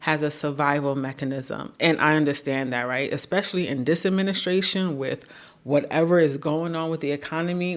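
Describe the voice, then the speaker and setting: A woman with a naturally deep voice talking to camera in an earnest, measured way, often checking in with "right?". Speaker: YouTube creator. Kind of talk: reflective talking-head commentary.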